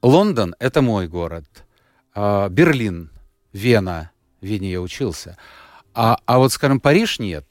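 A man's voice: lowish voice, sing-song, normal speed, dry recording, clean studio sound. A man being interviewed who talks in a rambling way, with many fillers and restarts, and sounds like he is getting over an illness. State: unwell, recovering. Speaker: radio host, guest here. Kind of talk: relaxed conversational interview.

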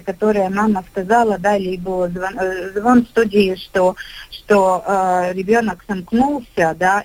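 A middle-aged woman, a kindergarten director, speaking on a radio discussion in a halting way, with many filler sounds. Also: mid-range voice, rough recording. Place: radio studio.

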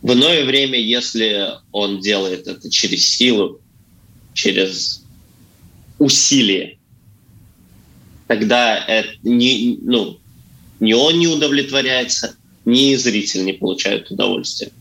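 A man, an actor appearing as a studio guest, answering earnestly and haltingly as he searches for words and repeats phrases. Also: mid-range voice, bright, forceful tone, low-quality recording. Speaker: actor as talk show guest. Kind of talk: earnest conversational interview answers. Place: radio studio.

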